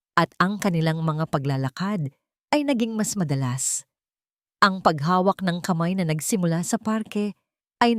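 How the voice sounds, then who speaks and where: Lowish-voiced woman narrating a dramatic story. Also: no echo, studio quality. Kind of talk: scripted dramatic romance narration.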